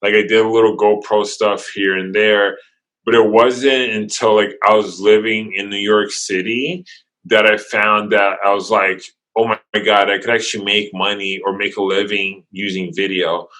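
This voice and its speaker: Guy w/ english as second language, bright punchy voice, energetic, keeps restarting sentences. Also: on the low side, poor audio. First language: not English. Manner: energetic, talks in quick runs with restarts. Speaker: guest videographer. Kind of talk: casual interview chat.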